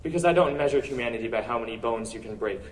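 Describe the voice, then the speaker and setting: A man performing spoken word poetry at a live open-mic event, with earnest, rhetorical delivery and deliberate pauses. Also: mid-range voice, expressive, recorded from a distance, slight background noise, low-quality recording. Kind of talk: poetry recital, slam-style spoken word.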